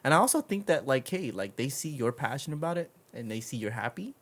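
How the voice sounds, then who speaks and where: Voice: mid-range voice, very animated, fast talker. An interview host chatting casually, with an affirming and enthusiastic manner of responding and asking questions.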